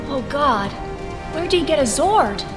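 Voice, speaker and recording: Girl acting anxious young superhero pilot, audio drama, super high lively voice, loud music. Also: a little slow. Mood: alarmed.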